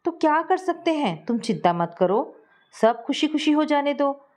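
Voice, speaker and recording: A woman reading as a calm reassuring husband. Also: sits high, measured pace, pitched above the performer's own voice. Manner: soothing, reasonable.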